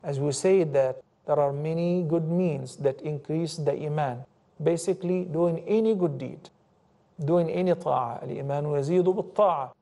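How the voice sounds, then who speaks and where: A middle-aged man giving a televised religious lecture as host, animated and gesturing, reciting Arabic quotations in a studio. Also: fairly high pitch, a little fast, creaky. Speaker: Islamic scholar and TV host. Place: indoor TV studio set.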